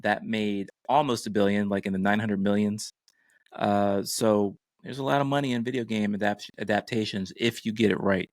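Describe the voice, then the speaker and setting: A man's voice: fairly low pitch, steady tone, normal speed, no room sound. A middle-aged man co-hosting a podcast, giving casual, enthusiastic pop culture commentary in a conversational way. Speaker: podcast co-host.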